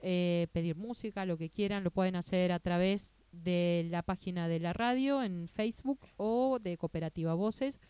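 A woman hosting a radio news show speaks with an Argentine Spanish accent in a fairly low voice. Her tone is smooth and clear, the sound dry and close. She talks casually and falters often, with fillers and restarted phrases. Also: normal speed, hardly any pauses, warm tone, no echo. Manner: hesitant, many fillers and restarts. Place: radio studio.

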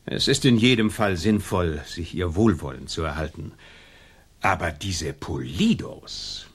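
An actor playing an imperious, vengeful colonial governor in a German radio drama, speaking with haughty command. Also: male voice, deep voice, a little slow, husky. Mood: brooding.

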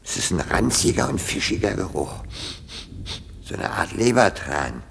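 An actor playing a timorous, puzzled comic court jester in a radio play, muttering and wondering aloud in short fragments. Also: male voice, low voice, very animated, moderate pace, vocal fry, fairly bright tone, slight background noise, full character voice. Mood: puzzled, curious. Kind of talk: German radio play acting, comic monologue.

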